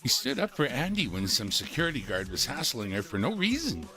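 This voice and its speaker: A man's voice: medium pitch, sing-song, fairly quick, sharp tone, faint music behind. A man playing an earnest, supportive teenage friend in a dramatic script reading.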